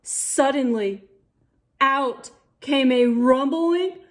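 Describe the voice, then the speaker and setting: A woman storyteller reading aloud for children in an expressive, theatrical way. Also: high-pitched. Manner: theatrical, deliberate delivery with dramatic gasps and exclamations. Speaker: storyteller, channel host.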